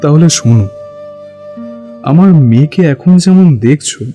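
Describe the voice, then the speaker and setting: A man's voice: on the high side, animated, unhurried, mellow. An actor playing a middle-aged father who confides earnestly and sadly about his grown daughter in an audio drama. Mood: confiding, worried. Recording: music under the voice.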